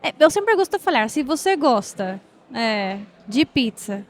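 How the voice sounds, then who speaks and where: A woman with a Spanish-speaking Latin American accent talks as a podcast guest, her voice sitting high. She hesitates often, filling gaps as she searches for words in a second language. Faint noise hums behind her. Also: very animated, a little slow. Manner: hesitant, many fillers, mixes in Spanish grammar. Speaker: guest, developer relations lead. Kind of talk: casual interview chat. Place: event podcast set.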